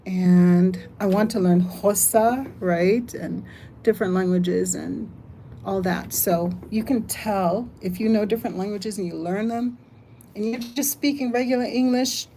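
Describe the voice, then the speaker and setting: A woman's voice: medium pitch, measured pace, slight background noise. Chatty older woman hosting a live stream. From United States. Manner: chatty, reads chat aloud, thinks out loud. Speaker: livestream host. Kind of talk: casual live stream chat.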